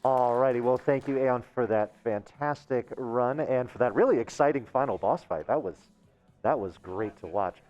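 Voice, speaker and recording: A man's voice: mid-range voice, normal speed. A man hosting a charity marathon, thanking the runner and reading out donations in a cheerful announcer way. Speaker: marathon host. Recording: muffled lo-fi audio with faint music.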